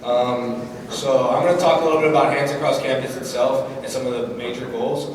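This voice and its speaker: A man's voice: medium pitch, steady tone, fairly quick, crowd noise. A teenage boy emceeing a school assembly, talking casually with many fillers into a microphone. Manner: casual, loose, filler-heavy. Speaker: student emcee. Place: large school hall. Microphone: handheld mic heard from far off. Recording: echoey hall from far off.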